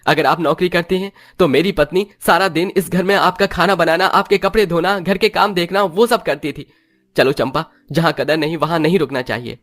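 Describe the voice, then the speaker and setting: A man's voice: fairly high pitch, fairly even intonation, moderate pace, a bit bright, pitched above the performer's own voice, close to an everyday voice. A man voicing a poor young husband with an earnest, emotional manner for a moral story cartoon. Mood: indignant.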